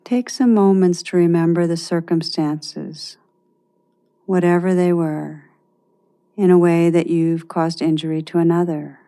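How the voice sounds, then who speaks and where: Slow, mellow woman guiding meditation. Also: lowish voice, fairly even intonation. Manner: gentle, measured, with long pauses. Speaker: meditation guide. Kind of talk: guided meditation narration.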